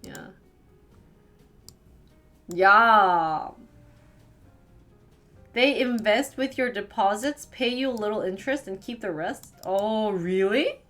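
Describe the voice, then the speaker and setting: A woman with a Wisconsin accent from the United States, chatting casually with viewers as a live streamer and firing quick questions back at them. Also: medium pitch, a bit bright, faint music behind. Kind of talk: casual chat answering viewers.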